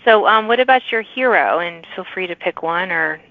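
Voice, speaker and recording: A woman's voice: fairly low pitch, animated, moderate pace, dry recording. A woman hosting a conversational author interview, responding in an encouraging, affirming way. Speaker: podcast host interviewing authors. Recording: narrow phone-like sound, dry.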